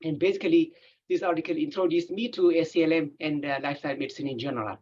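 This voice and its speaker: A man with an Ethiopian accent, whose first language is not English, presenting as an endocrinologist in a webinar, halting and often pausing with filler sounds. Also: a little high, steady tone, darkish tone, highs cut off, slight background noise. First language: not English; English is his third language. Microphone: video call.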